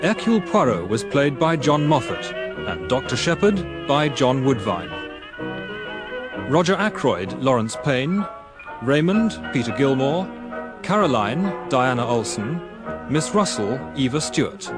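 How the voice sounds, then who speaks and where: A male radio announcer reads scripted credits in a formal way. His mid-pitched voice moves with lively intonation, and music plays clearly behind him. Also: a little slow.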